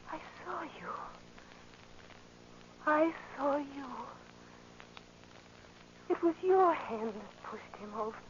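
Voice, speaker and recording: Desperate, high-voiced vengeful woman. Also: noisy background, heavily acted. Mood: grieving, shaken. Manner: halting, intense, melodramatic delivery. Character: desperate, vengeful woman luring a man to die. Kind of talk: 1940s radio drama acting. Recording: muffled old radio recording with music.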